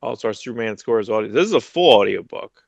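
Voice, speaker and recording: Low gravelly man rambling on a podcast. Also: lively intonation, fairly quick, dry recording, highs cut off. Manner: rambling, many restarts, low-key. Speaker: podcast co-host. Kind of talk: casual chat about comics news. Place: home room, video call.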